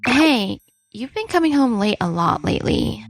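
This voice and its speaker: Woman playing wronged young wife, earnest, hurt, higher-pitched, scripted manga dub narration, music underneath. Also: very animated, moderate pace. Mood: worried. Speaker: narrator and lead character. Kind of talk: scripted first-person story narration and dubbed dialogue.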